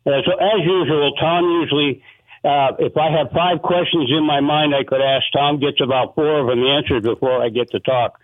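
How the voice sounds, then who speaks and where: A man's voice: fairly high pitch, steady tone, moderate pace, no echo. A man calling in over a tinny phone line. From Montana. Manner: rambling, polite and thankful. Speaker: caller. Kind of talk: radio call-in question. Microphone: telephone.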